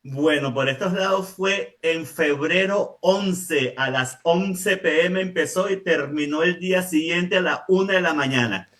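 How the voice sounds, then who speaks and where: A man's voice: fairly high pitch, a little slow, few pauses. A man. He sits a bit back from the microphone, so he sounds distant. He talks steadily and often agrees with a firm "así es". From Venezuela. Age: late 40s. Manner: calm, affirming, often says "así es". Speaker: guest, business owner. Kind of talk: conversational interview storytelling.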